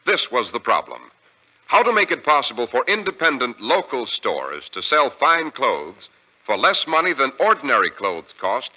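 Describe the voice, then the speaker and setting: Forceful American 1940s radio pitchman. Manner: polished, emphatic salesmanship. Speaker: radio announcer reading sponsor ad. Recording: muffled old radio recording.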